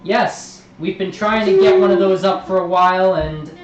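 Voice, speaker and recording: A man's voice: high voice, normal speed, muffled recording. Man game master in an echoey room. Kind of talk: livestream tabletop game narration.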